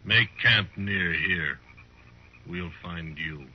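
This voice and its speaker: An actor playing a proud, menacing chief in a 1950s radio western, speaking in clipped, solemn sentences. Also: male voice, sits low, very slow. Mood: stern. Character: stern, proud Cheyenne chief, terse and menacing. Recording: muffled, faintly noisy 1950s radio recording.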